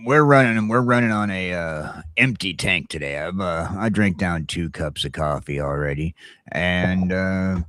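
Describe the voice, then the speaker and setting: Groggy, deep-voiced man hosting morning talk. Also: fairly bright tone. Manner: groggy, rambling, halting. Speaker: talk show host. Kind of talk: casual morning talk show banter.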